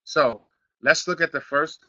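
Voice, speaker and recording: A middle-aged man from Philadelphia hosting a live online marketing class, slightly raspy from a sniffle, repeating himself and building to emphatic points. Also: a little high, a little slow, sharp tone, no room sound, highs cut off. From Philadelphia, Pennsylvania. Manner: repetitive, restarts phrases, builds to emphatic points. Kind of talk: live teleseminar class, casual coaching.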